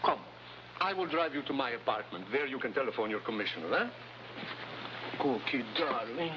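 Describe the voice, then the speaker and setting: Actor as a sly, animated, courtly informant. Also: male voice, medium pitch, normal speed, natural voice. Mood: oily, genial. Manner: smooth-talking, mock-polite, theatrical. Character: sly, courtly male foreign informant, self-serving schemer. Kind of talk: 1950s TV adventure acting. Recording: muffled old TV soundtrack with noise.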